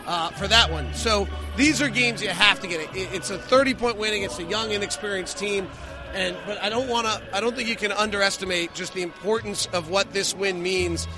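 A man's voice: sits high, brisk, over music. A man giving emphatic, opinionated post-game sports analysis as a radio play-by-play host.

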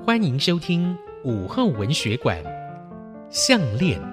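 A woman's voice: low-pitched, very animated, takes it slowly. A woman delivering a scripted radio programme introduction as its announcer. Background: prominent piano music.

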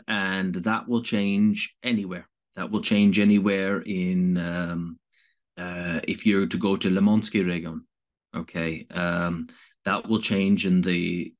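A man from Canada, a guest in an interview, answering in a halting, thoughtful way with many pauses and restarts. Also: low voice. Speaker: interview guest, volunteer evacuation worker.

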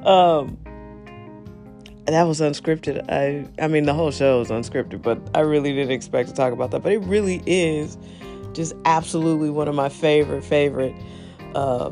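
A woman's voice: low-pitched, a little mellow. A middle-aged woman hosting her own show, talking off the cuff with frequent laughs and wandering asides. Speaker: podcast host. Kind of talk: unscripted solo talk.

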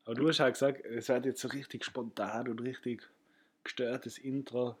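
Ein junger Mann mit österreichischem Akzent, Co-Host eines Podcasts, der locker plaudert, oft lacht und dazwischenredet. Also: male voice, medium pitch, steady tone, normal speed, slightly rough. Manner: teasing, laughs often, cuts in. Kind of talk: casual chat.

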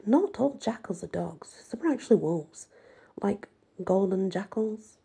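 A woman's voice: medium pitch, expressive, a little slow, vocal fry, mellow, breathy edge, full character voice. A woman voicing a sardonic, defensive teenage fairy-tale heroine in an audiobook, with a wry, evasive manner.

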